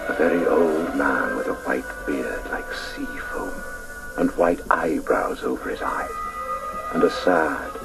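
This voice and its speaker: A man's voice: rumbling low, gravelly, full character voice. An actor playing a reflective man who recalls his childhood, narrating solemnly and hesitantly in a radio drama. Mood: awed. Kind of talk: dramatic first-person narration. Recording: distant, faintly noisy radio recording.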